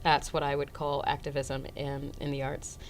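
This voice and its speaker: A woman actor with a very low voice answers interview questions thoughtfully, pausing often with fillers before pressing her point. A faint rumble sits under the recording. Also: completely flat delivery. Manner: thoughtful, many fillers, grows emphatic. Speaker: actor guest. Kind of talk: casual interview.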